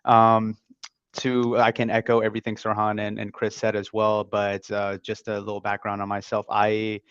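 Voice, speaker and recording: A young man introducing himself as a student panelist over a video call, halting with many fillers. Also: on the low side, monotone, a bit bright, clear, resonant tone, no echo. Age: young adult. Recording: dry, dull video call audio.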